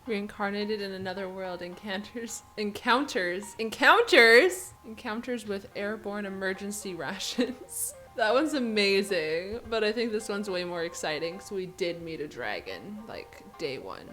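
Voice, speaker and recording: A woman's voice: medium pitch, animated, a little slow, few pauses, pushed and bright. Blunt, slangy woman gamer over music. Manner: blunt, slangy, exaggerated reactions. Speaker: gaming video creator. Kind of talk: casual game playthrough commentary. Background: game music.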